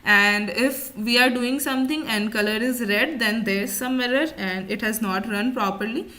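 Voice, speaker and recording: Bright, high-voiced woman teaching software. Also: moderate pace. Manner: repetitive, step-by-step explaining. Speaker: instructor. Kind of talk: software tutorial, explaining a screen demonstration.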